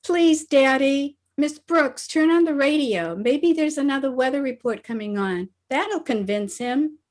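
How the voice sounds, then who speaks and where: Teenage daughter, radio comedy adult actress: eager, coaxing girl with a high voice. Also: lively intonation, a little slow. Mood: coaxing, earnest. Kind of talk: old-time radio comedy acting.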